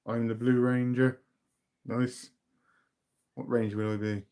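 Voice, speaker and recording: British man, livestream host, easygoing chat from home, drifts quiet at line ends. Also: medium pitch, a little slow, smooth. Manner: relaxed, chatty, trails off into quiet mumbles. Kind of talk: casual live chat reading viewer comments.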